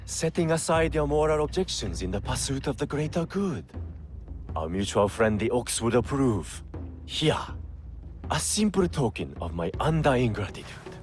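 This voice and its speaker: An actor playing a sly, mocking smuggler boss in a video game, with a sarcastic, theatrically polite way of talking. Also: male voice, medium pitch, very animated, moderate pace, faint music behind, natural voice. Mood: sardonic, smug. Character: sly, mocking male smuggler boss, self-serving and sardonic. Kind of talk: video game cutscene acting.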